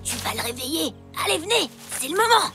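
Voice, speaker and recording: A woman's voice: high voice, sing-song, brisk, music in the background. A woman voicing a scheming, sneering child bully in a prehistoric cartoon, brash and commanding. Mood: bossy, urgent. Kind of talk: French cartoon dub acting.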